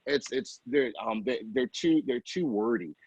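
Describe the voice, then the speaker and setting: A middle-aged man giving casual interview answers as an early childhood educator, halting and often interrupting himself with informal asides. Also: mid-range voice, darkish tone, slightly rough, no echo.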